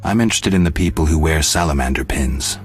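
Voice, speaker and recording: An actor playing a sardonic, terse monster hunter in a video game, with a dry, laconic delivery. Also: male voice, a bass voice, fast, breathy edge. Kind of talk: video game character acting. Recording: loud music behind the voice.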